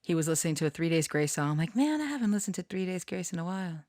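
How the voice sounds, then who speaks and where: A woman's voice: on the low side, big pitch swings, very fast, a little mellow, no echo, studio quality. A woman rambling casually to her online followers, giggly and prone to swearing. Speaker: online storyteller and channeler.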